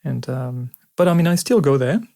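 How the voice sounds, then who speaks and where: A man in his forties from Switzerland, a sports doctor chatting as a guest at home in a relaxed, thoughtful way with many pauses and fillers. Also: mid-range voice, vocal fry. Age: 40s. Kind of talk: casual conversation. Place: home, indoors.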